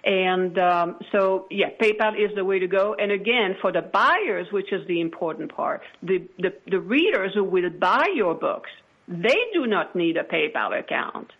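A woman's voice: on the low side, animated, measured pace, slightly rough. A woman interview guest explaining things in an assured, conversational way on a dull recording. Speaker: guest, company chief executive. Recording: dull, radio-like lo-fi audio.

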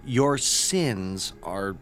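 A man playing a nervous, ingratiating school guidance counselor in his twenties in an audio drama, speaking haltingly. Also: lowish voice, expressive, a bit bright, soft background music, exaggerated voice. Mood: nervous probing. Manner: hesitant, ingratiating, halting with ums.

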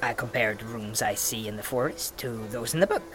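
An actress playing a terse, guarded outsider from an isolated people in a fantasy audio drama, speaking in halting, clipped phrases. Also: female voice, very deep voice. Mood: thoughtful, explaining. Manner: halting, clipped sentences with pauses and murmurs.

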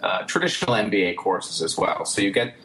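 Relaxed low-voiced man, hesitant. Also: a little fast, slight background noise. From United States. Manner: relaxed, hesitant, laughs easily. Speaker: interview guest. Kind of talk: casual interview talk.

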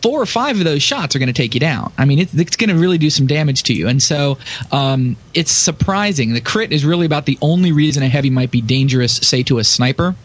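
A man's voice: on the high side, lively intonation, fast. A man in a casual gaming podcast discussion, explaining emphatically and talking through examples. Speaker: podcast panelist discussing game tactics.

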